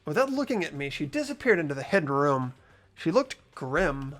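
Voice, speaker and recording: A man playing an earnest, hesitant, anxious high school boy in an amateur video game dub, narrating and speaking in character. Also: big pitch swings, normal speed, fairly bright tone. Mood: tense. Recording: music behind the voice.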